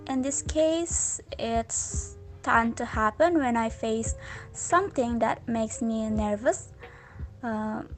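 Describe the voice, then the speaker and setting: A woman's voice: fairly high pitch, slow pace, faint music behind. A young woman whose first language is Indonesian, answering interview questions in English in a careful, slightly hesitant way.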